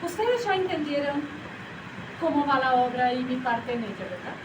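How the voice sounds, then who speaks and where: An actress speaking straight to a theater audience in a chatty, playfully confused manner. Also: female voice, high-pitched, expressive, normal speed. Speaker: stage actor. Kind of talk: theatrical direct address to the audience. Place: small theater with a live audience. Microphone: far camera. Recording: echoey room, far camera, audience noise.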